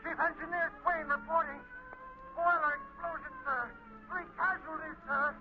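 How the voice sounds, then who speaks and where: An actor playing a dutiful, grave navy chief engineer making clipped reports in a 1930s radio drama. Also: male voice, very high voice, steady tone, normal speed. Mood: grave, urgent. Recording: phone-like 1930s radio, music behind.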